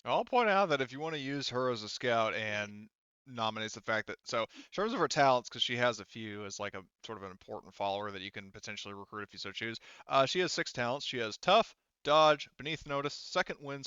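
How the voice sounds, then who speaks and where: A man narrates a tabletop roleplaying session as game master. His voice is clear and his delivery is casual, with halting explanations. The sound is dry and slightly dulled. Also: mid-range voice, expressive, sharp tone, no room sound, lo-fi sound.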